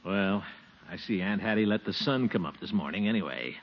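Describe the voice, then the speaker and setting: An actor playing a flustered, pompous middle-aged uncle in 1940s radio comedy, blustering and chuckling through theatrical hesitations. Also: male voice, lowish voice, a little fast, muffled recording, natural voice. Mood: amused bluster. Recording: noisy, band-limited 1940s radio recording.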